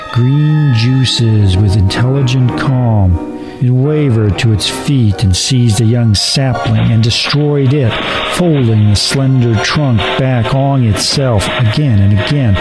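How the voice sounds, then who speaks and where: Man, horror story narration, slow measured delivery, ominous, music behind. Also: mid-range voice, low-quality recording. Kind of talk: dramatic scripted horror story narration for radio. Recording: music under the voice.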